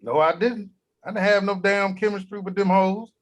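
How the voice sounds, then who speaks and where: A man's voice: high voice, fairly even intonation, normal speed, close to an everyday voice. An actor at a table read playing a crude, grumpy old grandfather who blusters and swears. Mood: indignant. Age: older. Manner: profane, blustery, shouting when riled.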